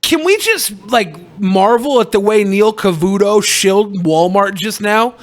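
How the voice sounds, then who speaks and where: A man's voice: sits high, expressive, measured pace. A sardonic, foul-mouthed man chatting casually and jokingly as a podcast co-host. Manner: sardonic, profane. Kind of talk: casual comedic podcast chat.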